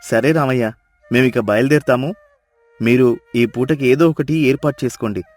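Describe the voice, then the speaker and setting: A grateful, commanding village gentleman from a cartoon moral tale, acted by a man. He speaks at a middle pitch with dramatic, heartfelt emphasis. Light music plays behind him. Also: moderate pace, lightly acted. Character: well-off village brother-in-law, grateful, emotional, commanding. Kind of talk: Telugu moral-story cartoon dubbing.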